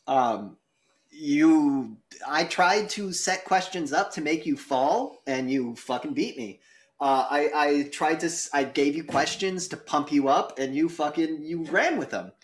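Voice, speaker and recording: A man co-hosting and judging a casual debate, chatty and blunt with some swearing. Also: on the high side. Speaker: debate judge and co-host.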